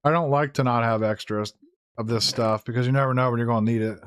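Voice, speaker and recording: A hoarse man who is losing his voice, giving casual gameplay commentary, thinking aloud with fillers and self-corrections. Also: mid-range voice, fairly even intonation, fast talker, slight background noise. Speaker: gaming YouTuber. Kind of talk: casual Let's Play commentary.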